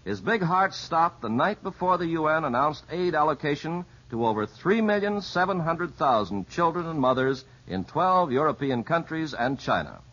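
Male announcer, highish husky voice, animated scripted delivery, dull vintage 1950s radio sound. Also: normal speed, hardly any pauses.

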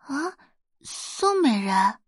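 A woman voicing a timid, hesitant teenage schoolgirl who speaks haltingly in an audio drama. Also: high-pitched, very animated, husky, no room sound, studio quality, voice pushed up, full character voice. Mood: timid, surprised.

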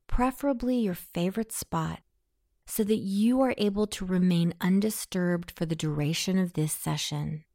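A woman guiding a scripted hypnosis meditation in a soothing, softly breathy delivery. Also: lowish voice, no echo, clean studio sound.

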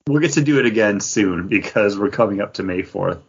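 A man's voice: lowish voice, animated, a little fast, dull audio, slight background noise. A man hosting a podcast in casual, chatty fan talk. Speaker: podcast host.

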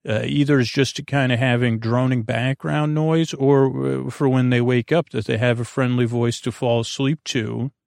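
A man hosting a sleep podcast in a meandering, halting monologue, often stammering and trailing off, with a creaky, droning voice recorded in a small closet. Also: mid-range voice, darkish tone, dry recording, clean studio sound. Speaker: podcast host. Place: small closet under the stairs.

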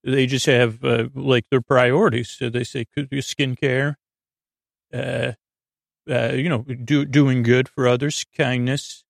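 A man hosting a bedtime podcast in a meandering, halting way, with improvised digressions and words that trail off unfinished. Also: mid-range voice, little pitch movement, gravelly, dry recording, studio quality. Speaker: podcast host. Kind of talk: rambling improvised bedtime monologue.

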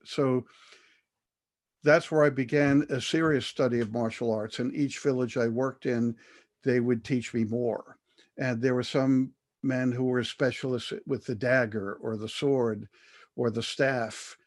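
An older man speaks as an interview guest, unhurried and reflective. He pauses often and restarts his sentences while he recalls his experiences. His voice sits at an ordinary middle pitch. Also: fairly even intonation. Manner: measured, thoughtful, with frequent fillers and restarts.

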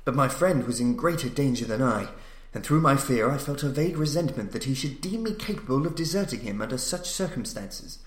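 A man's voice: mid-range voice, animated, a little fast. Solemn male horror narrator in echoing room. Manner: solemn, suspenseful, theatrical. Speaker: narrator, podcast reader. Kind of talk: dramatic gothic horror audiobook narration. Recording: roomy, echoing voice recording.

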